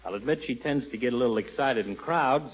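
An actor playing a crude, chummy small-town husband who talks ungrammatically, in 1940s radio comedy style. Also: male voice, mid-range voice, fast talker, breathy edge, lightly acted. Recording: muffled old radio broadcast with audience.

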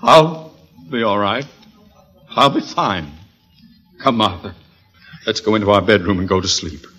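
A man's voice: fairly low pitch, animated, a little slow, fairly bright tone, faint music behind. A man playing a grumpy, blustering middle-aged husband in an old radio comedy, exasperated and sardonic. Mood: strained, exasperated. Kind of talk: 1950s radio comedy acting. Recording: old radio recording, faint noise.

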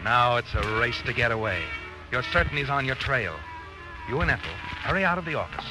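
An actor playing a knowing, ominous narrator in an old radio suspense drama, dramatic and insinuating in second person. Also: male voice, mid-range voice, animated, fairly quick, over music, natural voice, low-quality recording. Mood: urgent. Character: knowing, ominous narrator addressing the guilty man as you.

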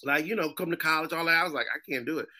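A man from Massachusetts with an East Coast accent, a guest telling animated stories in casual chat. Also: fairly high pitch, rapid-fire. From Springfield, Massachusetts. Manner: animated storyteller, mimics other people's voices.

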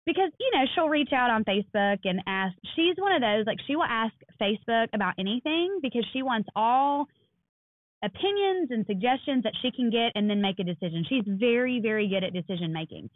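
Woman, thirties, animated storytelling, thin phone-like audio, dry room. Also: a little high, brisk, no echo. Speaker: podcast co-host. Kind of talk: casual chat.

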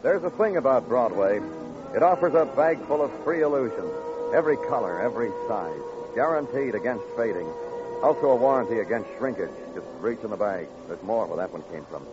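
A man's voice: mid-range voice, animated, a little fast, natural voice. A man playing a hardboiled, world-weary police detective and narrator in a 1950s radio crime drama, wry and brooding with clipped storytelling. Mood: cynical, brooding. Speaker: narrator and lead actor. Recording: muffled vintage radio recording with music.

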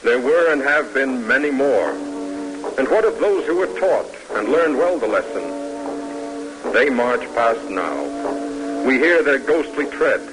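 A man's voice: mid-range voice, sing-song, a little slow, rough recording. An American man narrating an old-time radio drama in a solemn, stately, oratorical way. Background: music.

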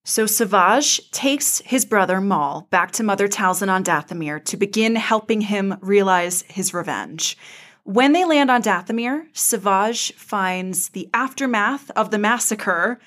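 A woman with an average-pitched voice is co-hosting a podcast. She tells the story as a casual recap, expressive and a little wry, like a fan talking to fans. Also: unhurried. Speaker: podcast co-host.

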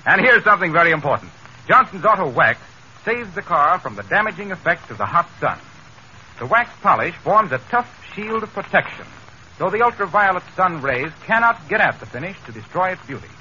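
Piercingly bright 1930s American radio pitchman. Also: very animated, brisk. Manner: polished, emphatic sales delivery. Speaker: radio announcer. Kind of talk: 1930s radio commercial announcing. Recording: muffled, noisy vintage radio broadcast.

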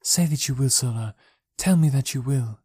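A man's voice: medium pitch, normal speed, darkish tone, husky, no room sound, studio quality, close to an everyday voice. A man reading aloud and voicing a noble, proud young alien princess who speaks earnestly and pleads. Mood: pleading.

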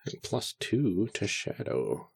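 Low, lively man in a dry studio sound. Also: takes it slowly, vocal fry, husky, dry recording. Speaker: podcast participant. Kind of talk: casual chat.

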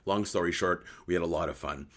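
A man with a hoarse voice hosting a casual live sports chat show, joking and thinking aloud as he answers viewers. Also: low-pitched, fairly quick, fairly bright tone. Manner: joking, conversational, thinking aloud with repeated words. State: hoarse voice after a night outdoors. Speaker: host of a hockey post-game recap show.

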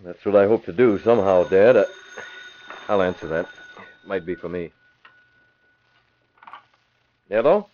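A man's voice: sits low, normal speed, a little mellow, a little hiss or hum, lightly acted. An actor playing a determined young crusading man with a secret identity, giving decisive orders in 1940s radio drama style. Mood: concerned. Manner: decisive, gives crisp orders. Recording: dull vintage radio recording.

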